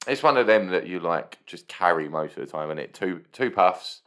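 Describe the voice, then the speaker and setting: A man with a booming voice, co-hosting a podcast with joking, teasing banter and casual swearing. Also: expressive, moderate pace, fairly bright tone. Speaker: podcast co-host.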